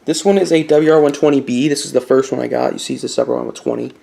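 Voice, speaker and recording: Male online video host for a collector's showcase; creaky mid-range voice, casual and explanatory delivery. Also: mid-range voice, steady tone, racing, dark tone. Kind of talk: informal product showcase with buying tips.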